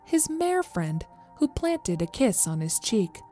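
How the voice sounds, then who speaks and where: A woman's voice: fairly high pitch, big pitch swings, takes it slowly, dark tone, faint music behind. A woman narrating a scripted horror story as its storyteller.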